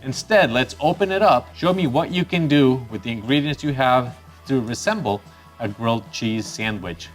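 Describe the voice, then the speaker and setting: A man's voice: medium pitch, normal speed, faint music behind. Upbeat Costa Rican man hosting a vlog. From Costa Rica. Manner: upbeat, chatty, with bursts of excitement. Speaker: photography channel host. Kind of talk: casual YouTube presenting.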